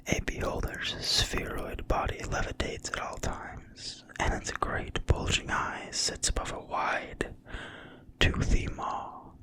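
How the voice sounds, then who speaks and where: A narrator reading from a book entirely in a quiet, breathy whisper, slowly and deliberately, in ASMR style. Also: poor audio. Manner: slow, deliberate, hushed reading with pauses. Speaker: narrator reading from a fantasy game manual.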